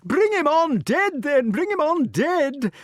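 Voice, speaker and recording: A shrill, whooping old king. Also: very animated, slow pace, dry recording, studio quality, full character voice. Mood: jubilant. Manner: shrill, whooping, hunting cries. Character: excitable eccentric old male king.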